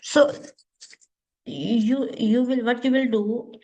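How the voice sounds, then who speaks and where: A woman with a Pakistani accent, a native Urdu speaker, giving an informal step-by-step tutorial in a halting, repetitive way with frequent okays. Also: fairly high pitch, fairly even intonation, a little mellow, muffled recording. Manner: halting, repetitive, often says okay. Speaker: tutorial host.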